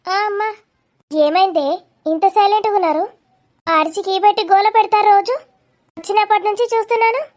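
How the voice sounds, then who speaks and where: A woman acts out village women of an animated family drama, from young friends to a worried mother. The voice is extremely high and small in colloquial dialogue. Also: fairly quick, long pauses. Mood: worried, scolding.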